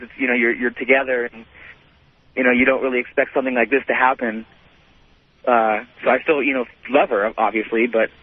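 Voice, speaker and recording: Phone-line lo-fi sound, man in his thirties, husky voice, halting unscripted storytelling, repetitive. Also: mid-range voice. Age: 30s. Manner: halting, repetitive, peppered with "you know". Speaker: radio show caller. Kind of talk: unscripted personal account on a call-in show. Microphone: telephone. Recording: muffled lo-fi phone call to radio.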